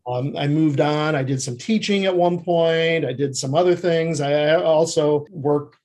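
Reflective man with a slightly high voice. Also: normal speed, a little mellow. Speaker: interview guest, screenwriter and TV creative services producer. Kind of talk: conversational interview answers.

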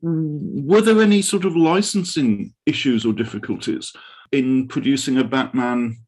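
A man's voice: medium pitch, measured pace, darkish tone, husky. A man co-hosting an interview, asking questions in a casual conversational style. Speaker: podcast co-host.

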